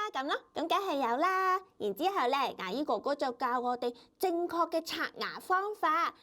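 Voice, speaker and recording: Lady doing a chatty little girl puppet, bossy big sis, really high voice, hong kong cantonese accent. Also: a bit bright. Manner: childlike, sing-song particles, explains step by step. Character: chatty five-year-old big sister puppet, proud and bossy. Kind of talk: scripted children's puppet show dialogue.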